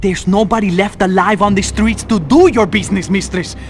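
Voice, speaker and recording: A man's voice: high voice, fairly even intonation, fairly quick. A man playing a cowardly, fawning street gangster, servile and stammering, in a game cutscene. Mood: panicked pleading. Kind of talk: dramatic video game cutscene acting. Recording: background music under the voice.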